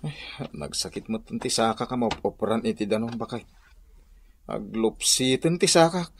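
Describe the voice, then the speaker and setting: A man playing a comic village husband, grumbling and boastful, with a husky voice and a Northern Luzon Filipino accent in a radio comedy drama. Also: medium pitch, animated, normal speed, a little hiss or hum, natural voice.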